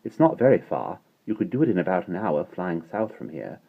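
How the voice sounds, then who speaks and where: Guy putting on a stern prim nanny lady, sharp and sniffy, pitched way up, sounds like a phone line. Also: sing-song, a little fast, creaky, breathy edge, full character voice. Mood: matter-of-fact. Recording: tinny phone-like audio.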